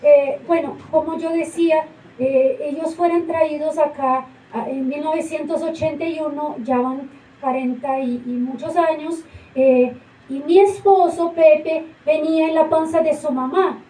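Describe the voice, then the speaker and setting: A woman playing a widowed female hippo who is a grieving young mother and speaks haltingly with many fillers, acted live on a theater stage. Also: high-pitched, fairly even intonation, warm tone, slight background noise. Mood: hesitant. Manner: hesitant, halting, full of fillers. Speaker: interviewee on a radio show. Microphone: far camera. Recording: roomy stage from far off.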